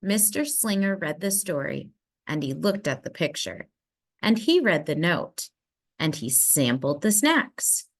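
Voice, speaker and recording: A woman narrating a children's storybook aloud in an expressive manner with dramatic emphasis. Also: lowish voice, unhurried. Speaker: storybook reader.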